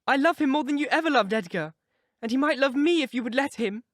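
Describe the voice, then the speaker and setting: A man's voice: animated, fast talker, fairly bright tone, no room sound, studio quality. A man voicing a tearful, lovesick young woman. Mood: defiant. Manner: pleading, high-pitched and emotional.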